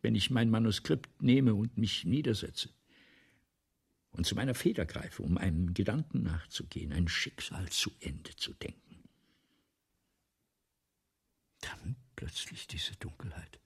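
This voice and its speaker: An actor playing an ailing, embittered, self-dramatizing playwright in a German radio play, speaking theatrically in rhetorical repetitions, with a husky, gravelly voice that drops to whispers. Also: male voice, on the low side, moderate pace, heavily acted. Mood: plaintive, fearful. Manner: theatrical, rhetorical, building repetitions then sudden hushes.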